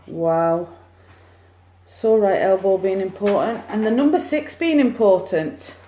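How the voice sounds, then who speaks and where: A woman's voice: medium pitch, unhurried, slight background noise. Chatty woman medium, phone livestream. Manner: chatty, excitable, cheering on share counts. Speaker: psychic medium. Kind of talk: live-streamed card reading. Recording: echoey room, phone mic.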